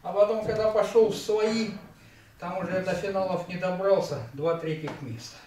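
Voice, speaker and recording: A man's voice: sits high, normal speed, a little mellow. A man in his fifties chats between sets, telling a story plainly in a slightly raised voice. He is heard from across a very echoey home gym. A faint hum of noise sits behind him. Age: 50s. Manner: plain storytelling, brief replies. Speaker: trainee. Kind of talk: casual chat between sets. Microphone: camera across the room. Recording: echoey room from far off, faint background noise.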